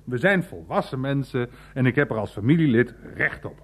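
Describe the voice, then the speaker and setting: A nosy older uncle in a radio play, his mid-pitched voice swinging widely up and down. He is blunt and insistent, with a wry, colloquial turn to his talk. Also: expressive, a little fast.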